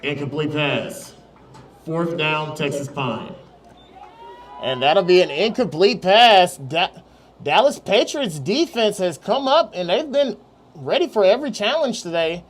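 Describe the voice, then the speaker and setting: Male play-by-play announcer with a high voice, calling youth football outdoors over faint background noise. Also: measured pace. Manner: steady, rising on big plays.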